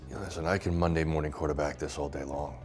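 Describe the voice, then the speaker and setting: A man's voice: very deep voice, steady tone, fast talker, a little mellow, faint music behind. A man as an interview guest, speaking candidly in a plain, firm manner. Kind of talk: candid personal reflection.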